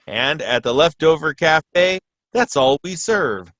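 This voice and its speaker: A man playing a radio announcer, delivering a parody commercial as a polished, deadpan sales pitch. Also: slow pace, dry recording. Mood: earnest selling. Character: smooth-selling announcer of a parody cafe ad.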